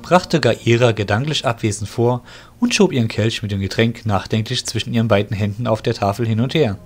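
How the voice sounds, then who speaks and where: A man's voice: fairly low pitch, fairly quick, faint music behind. A man narrating a scripted fantasy audio drama.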